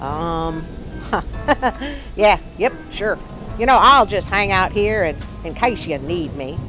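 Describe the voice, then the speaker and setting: A woman's voice: mid-range voice, lively intonation, takes it slowly, pushed and bright, low-quality recording. Puppet sidekick, woman performing, wisecracking, doubtful, questioning, snarky interruptions, faint music. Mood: hesitant, teasing. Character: wisecracking skeptical puppet sidekick heckling the story.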